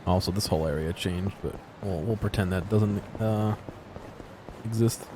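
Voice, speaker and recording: Low-voiced man musing over a game. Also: noisy background. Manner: musing, thinking aloud in halting fragments and self-directed questions. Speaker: video game streamer. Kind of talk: casual off-the-cuff gameplay commentary.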